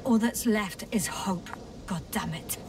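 An actress playing a thoughtful, worried woman scientist exploring space, speaking in a hushed, measured, earnest way in a video game. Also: mid-range voice, recorded from a distance, background noise, close to an everyday voice. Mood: sad, worried. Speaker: crew scientist. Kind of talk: dramatic game voice acting.

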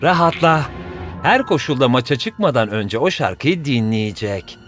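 An actor voicing a self-satisfied, pedantic cartoon schemer who dabbles in hypnosis. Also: male voice, mid-range voice, animated, a little slow, over music, lightly acted. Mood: slyly dismissive. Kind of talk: animated cartoon dub acting.

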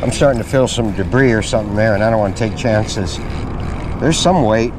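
A middle-aged man with a fairly low voice talks casually to his camera on an open pier. He sounds low-key, thinking aloud as he fishes, with clear outdoor noise around him. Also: a little fast. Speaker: angler narrating own fishing outing. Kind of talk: casual vlog commentary.

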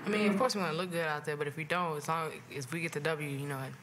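Quick, bright-voiced young woman athlete. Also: deep voice, steady tone, a little hiss or hum. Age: young adult. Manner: casual, quick, lots of "like". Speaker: college basketball player. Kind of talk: postgame press conference answers. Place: press conference room. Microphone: table mic.

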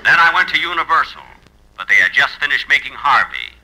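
Casting: wry deadpan storyteller, expressive mid-range voice, fifties radio comedy, clearly noisy old recording. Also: male voice, medium pitch, brisk. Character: wry screenwriter telling his own story. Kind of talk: comic scripted narration, 1950s radio.

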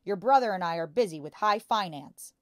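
A woman voicing a boastful, bullying father who deals in crooked cars, in a loud, hectoring manner for a storybook. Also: on the low side, animated, a little fast, dry recording, studio quality, lightly acted. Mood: angry, shouting.

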